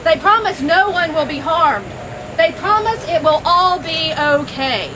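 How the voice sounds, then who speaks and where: A woman giving a rousing protest speech outdoors as a campaign coordinator, loud and rhetorical with repeated phrases. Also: extremely high pitch, expressive, a little slow. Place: outdoors on a college campus. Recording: outdoor noise and passing traffic.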